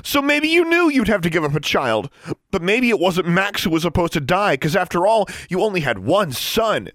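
A defiant, grieving young girl, as a male narrator acts her out, pitched high and full of accusation. The delivery is shrill and emotional, in a dramatic audiobook style with a dry sound. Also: very animated, moderate pace, a bit bright, no echo. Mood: shrill anger. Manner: accusing, emotional, rising to shrieks. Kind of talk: dramatic audiobook character acting.

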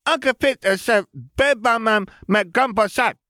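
Squeaky, insistent toddler boy. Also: moderate pace, bright, forceful tone, no room sound, clean studio sound. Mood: excited. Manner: insistent, garbled baby talk. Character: excited toddler boy with babyish mispronunciations.

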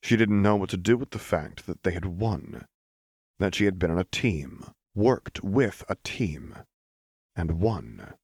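A man's voice: low voice, animated, measured pace, a little mellow, slightly rough, dry recording, clean studio sound. A man reading a story aloud as scripted audiobook narration. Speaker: audiobook reader.

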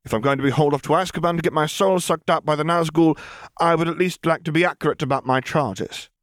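A man's voice: a little high, quick pace, fairly bright tone, no room sound, studio quality, pitched above the performer's own voice, natural voice. A man voicing a brash young witch crime boss in an audiobook, glib, mock-polite and theatrically menacing. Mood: exasperated. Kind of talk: acted dialogue in fan fiction audiobook.

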